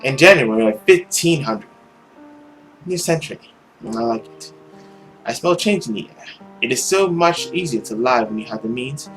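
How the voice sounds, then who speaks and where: A man's voice: medium pitch, lively intonation, normal speed, pauses often, fairly bright tone, soft background music, close to an everyday voice, poor audio. A man playing a world-weary immortal demon who poses as a nobleman, musing theatrically through his journal in a visual novel. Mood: sly musing. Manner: brooding, musing, theatrical diary reading.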